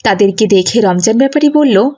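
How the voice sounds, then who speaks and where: A woman's voice: a little high, expressive, a little fast, darkish tone. A woman giving scripted narration for a children's moral story cartoon.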